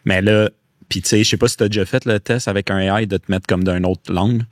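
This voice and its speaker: A low-voiced man with a Québécois accent hosts a podcast. He asks his questions in a relaxed, conversational way. The recording is dry with no room sound. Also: fast talker, no room sound. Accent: Québécois French. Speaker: podcast host. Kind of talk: casual interview chat.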